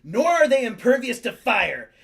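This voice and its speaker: A man plays a smug sitcom antagonist with a creaky, gravelly edge to his voice. He taunts and mocks with sardonic confidence, as in a comedy table read. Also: extremely high pitch, very animated, normal speed, a bit bright, exaggerated voice. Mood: smug taunting. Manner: mocking, sardonic.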